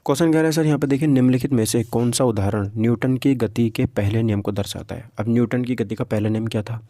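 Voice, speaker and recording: Guy teaching exam physics, mellow voice, really dry sound, reads questions out n explains, keeps checking u get it. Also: mid-range voice, quick pace, no room sound. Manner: explanatory, repeats questions, checks understanding with rhetorical questions. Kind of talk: informal lecture reading and explaining practice questions.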